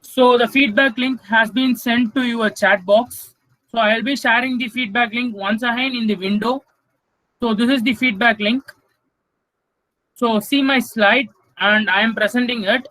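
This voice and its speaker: A man's voice: very high-pitched, steady tone, measured pace, sharp tone, dry recording, low-quality recording. A young man with an Indian English accent coordinating a webinar Q&A over a video call, polite, saying "sir" often and stumbling over words. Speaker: student event coordinator. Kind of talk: hosting a webinar Q&A.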